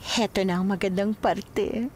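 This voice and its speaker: A woman playing a flirtatious, bossy mistress in an audio drama, with coy, drawn-out, giggly teasing. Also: medium pitch, steady tone, a little mellow, a little hiss or hum, close to an everyday voice. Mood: gleeful teasing. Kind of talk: Filipino radio-style drama acting.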